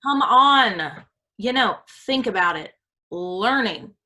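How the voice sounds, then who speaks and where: A woman's voice: a little high, animated, takes it slowly, bright, forceful tone, exaggerated voice. A woman playing a wry, flippant meddler who nudges others along in a staged play reading. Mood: wry, nudging. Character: meddling, flippant woman smoothing things over.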